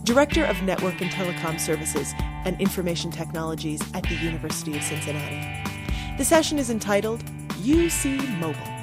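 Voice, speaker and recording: A woman's voice: on the low side, a little fast. A woman reading a polished scripted podcast introduction as the announcer. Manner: lively, polished read. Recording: studio voice-over with loud music. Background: loud music.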